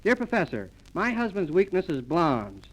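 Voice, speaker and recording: Male radio comedy host, high-pitched, mock-formal, on an old 1930s transcription disc. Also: big pitch swings, normal speed, poor audio. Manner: mock-formal announcer delivery.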